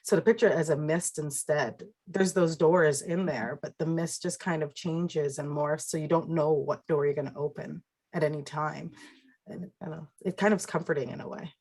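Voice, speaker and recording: Low husky-voiced woman, reflective. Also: steady tone, moderate pace, a little mellow. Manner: reflective, talks in imagery. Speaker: podcast guest. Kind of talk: casual interview conversation.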